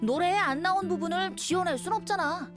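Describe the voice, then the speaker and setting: A playful young male bard from an anime game. The voice is high, boyish and breezy, with a lyrical turn, set over clear background music. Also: fairly quick. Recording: music behind the voice.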